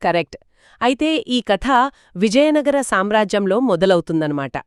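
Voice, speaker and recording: Lively, expressive woman storyteller in a dry recording. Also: mid-range voice, moderate pace, clear, resonant tone, no room sound. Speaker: storyteller host. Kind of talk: conversational moral storytelling in a two-host dialogue.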